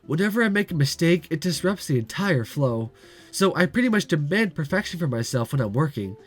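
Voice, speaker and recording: A man's voice: fairly high pitch, very animated, brisk. A man voicing a cheerful, tough young woman who works at a café, reading a visual novel aloud. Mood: chirpy.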